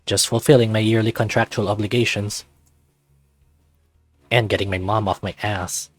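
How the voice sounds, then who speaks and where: Man as sarcastic slangy teenage best friend, lowish voice. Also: steady tone, normal speed, soft background music, lightly acted. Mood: grudging. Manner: slangy, offhand banter.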